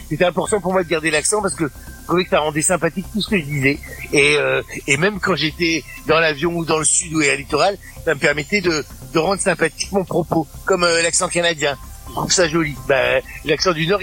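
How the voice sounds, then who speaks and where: A man's voice: fairly high pitch, faint music behind, poor audio. A man in his fifties from northern France with a ch'ti accent, a comedian chatting in an interview clip. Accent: northern French (ch'ti). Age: 50s. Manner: chatty, animated, fast talker.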